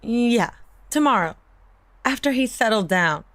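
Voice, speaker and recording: A woman's voice: a little high, expressive, measured pace, fairly bright tone, no room sound. A woman playing a worried, exasperated mother in an audio drama, pleading and flustered. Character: worried, exasperated mother of a tantrum-throwing boy.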